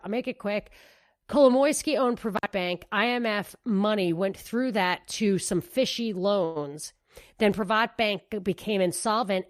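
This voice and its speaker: A woman co-hosting a political talk podcast, talking in an animated, rambling way and restarting her sentences. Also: medium pitch, dry recording, studio quality. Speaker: podcast co-host. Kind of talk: casual political commentary.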